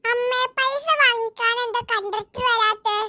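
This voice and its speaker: A performer voicing a naive, chatty, food-obsessed little boy in a comedy cartoon, with a childlike squeaky voice and eager questions. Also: fairly even intonation, moderate pace, bright, forceful tone, no echo, clean studio sound, full character voice. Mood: eager, curious. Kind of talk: Malayalam comedy cartoon dialogue.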